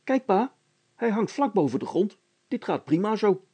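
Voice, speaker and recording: Storybook lad voiced by a grown man: high, thin pitch, plucky, sober, plain-spoken and eager. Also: very animated, moderate pace, dark tone, breathy edge, exaggerated voice. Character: plucky, sober, matter-of-fact young boy.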